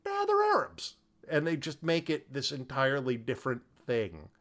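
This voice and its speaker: A man chats as a podcast co-host with a very lively, swooping delivery. He sounds emphatic and incredulous, leaning on key words in loose, colloquial speech. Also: mid-range voice, moderate pace. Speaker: podcast co-host discussing a film. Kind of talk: casual chat.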